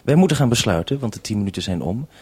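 A male radio presenter with a fairly low voice leads a live interview. He talks in a measured, orderly way, putting probing questions without a script. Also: animated, a little mellow, breathy edge.

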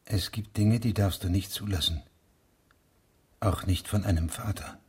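An actor playing a grave, persistent investigating judge in a German radio play, questioning insistently in a husky, breathy voice. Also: male voice, low-pitched, measured pace, a little mellow, exaggerated voice. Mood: quietly insistent.

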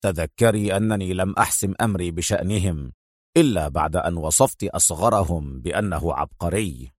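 A man's voice: low voice, a little fast, dry recording, clean studio sound, pitched below the performer's own voice, natural voice. A man voicing a stern, commanding spy chief in an audio story, with a firm, curt, self-assured delivery.

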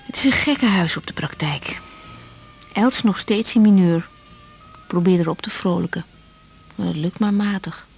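An actress playing a young woman doctor in a 1980s Dutch radio drama, caring, brisk and direct in a conversational way. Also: on the low side, gravelly, breathy edge, soft background music. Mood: pensive.

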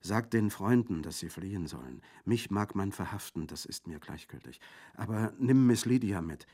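A man reading aloud, voicing an earnest, lovesick wounded young officer in a soft, hushed way. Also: sits low, measured pace. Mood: pleading. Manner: soft, hushed, at times whispering.

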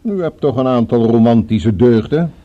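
An actor in a radio drama playing a courteous, cultured villain with a politely menacing, formal and musing manner. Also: male voice, mid-range voice, expressive, normal speed, lo-fi sound, lightly acted. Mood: urbane, probing.

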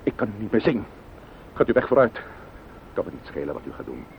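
An actor playing a grieving, indignant widowed neighbour in a radio play, formal and cutting, with a Netherlands Dutch accent. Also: male voice, fairly low pitch, sing-song, a little fast, gravelly. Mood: angry dismissal. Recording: dull, noisy old radio play recording.

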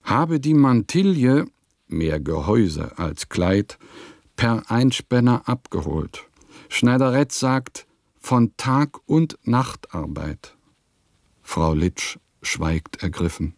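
An actor playing an eccentric composer who reads out his diary in terse, deliberate phrases for a literary radio play. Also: medium pitch, sing-song, darkish tone, no room sound, studio quality. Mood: musing.

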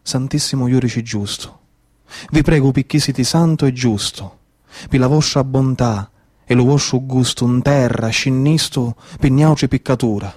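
A man's voice: mid-range voice, moderate pace, darkish tone. A man in his thirties with a Sicilian accent, telling a tale theatrically in an incantatory, repetitive manner. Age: 30s. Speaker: stage storyteller. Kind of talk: theatrical Sicilian cunto storytelling.

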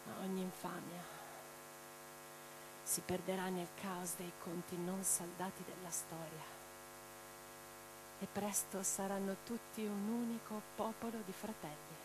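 A woman playing an imprisoned, defiant revolutionary in a radio theatre monologue, reading her letters with theatrical, deliberate phrasing. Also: on the low side, fairly even intonation, lightly acted. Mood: sombre, tender. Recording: clearly noisy track with mains hum.

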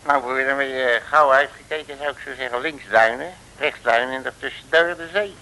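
An actor playing a bluffing, self-important detective with breezy colloquial talk in an old comic radio serial. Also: male voice, medium pitch, a little fast, pushed and bright, natural voice, rough recording. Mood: curious. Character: cocky, bluffing, folksy male detective. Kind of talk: 1960s Dutch comic radio serial acting. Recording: hissy old radio recording.

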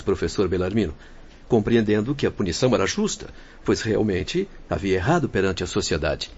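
A husky, fairly low voice, that of an earnest spirit counsellor in a radio drama. He reports formally and didactically, with lively pitch. The recording is muffled.

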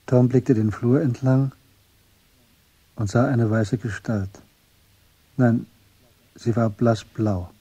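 A man narrating a literary crime radio drama in a measured, grave manner, with a husky, breathy voice. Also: mid-range voice, fairly even intonation, warm tone, no room sound.

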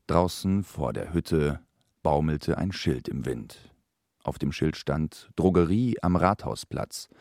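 An actor playing an irritable narrator with a mock-solemn storytelling tone in an absurd radio play. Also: male voice, extremely low pitch, gravelly, a little mellow, dry recording, clean studio sound, heavily acted.

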